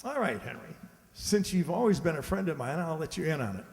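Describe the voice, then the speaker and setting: A man acting in an amateur radio drama as a henpecked husband, chummy and confiding, quietly smug about his secret scheme. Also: a little high, big pitch swings, brisk. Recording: echoey hall, faint background noise.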